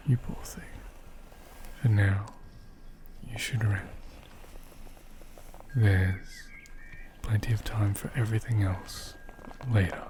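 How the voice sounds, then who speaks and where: A man playing a gentle, protective caretaker in a soothing ASMR roleplay, speaking softly and tenderly and often slipping into a breathy whisper. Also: on the low side, noisy background. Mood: soothing, hushed. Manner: tender, slow, soothing, reassuring. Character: gentle, protective male caretaker comforting a hurt doll. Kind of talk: soft-spoken ASMR roleplay, partly whispered.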